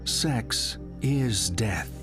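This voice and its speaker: Slow, animated, goading psychiatrist. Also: male voice, on the low side. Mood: brooding, seductive. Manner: theatrical, pointed, deliberate delivery with rhetorical questions. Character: provocative, probing male psychiatrist, goading and impatient. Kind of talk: dramatic video game acting. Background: music.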